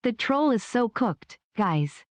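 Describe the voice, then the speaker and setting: A text-to-speech woman's voice reading out viewer donation messages in a flat, even way. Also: fairly high pitch, slow, over music. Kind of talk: synthetic read-out of viewer messages. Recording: noisy stream audio.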